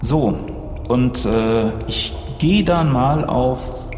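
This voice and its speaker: A man's voice: medium pitch, extremely slow, darkish tone. A man with a mid-range voice plays a tabletop role-playing game, talking through his moves in a casual, improvised way. He is heard in an echoey room with long reverb and some noise. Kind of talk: casual improvised play, describing own moves.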